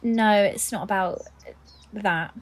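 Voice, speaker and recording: A woman playing a composed, dry-humoured police detective in a naturalistic audio drama, with a measured, professional manner. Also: mid-range voice. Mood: polite, businesslike. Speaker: actor in an audio play.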